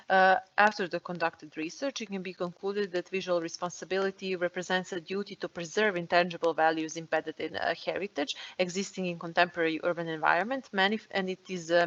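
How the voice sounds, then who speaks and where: A woman giving a formal academic presentation in a measured, hesitant way over a lo-fi online call. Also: on the low side, fairly bright tone.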